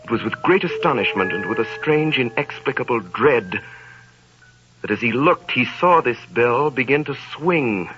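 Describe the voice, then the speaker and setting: A man narrating a 1930s radio drama in a measured storytelling manner. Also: medium pitch, lively intonation, a bit bright. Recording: dull 1930s radio broadcast with music.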